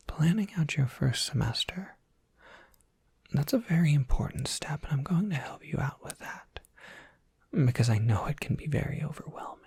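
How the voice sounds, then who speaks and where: A performer voicing a kindly, wry professor advising a student in an ASMR roleplay, in a soft breathy whisper with an unhurried, helpful manner. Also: mid-range voice, dry recording, exaggerated voice. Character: kindly, wry tenured professor at a monster academy. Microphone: close microphone, dry.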